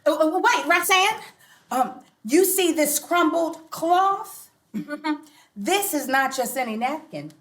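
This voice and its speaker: Woman as a pushy sitcom mom, high swoopy voice, takes her time. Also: expressive, takes it slowly, fairly bright tone, heavily acted. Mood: coaxing. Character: pushy, competitive sitcom mother courting the kids. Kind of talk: sitcom table read before live audience. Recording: roomy stage sound.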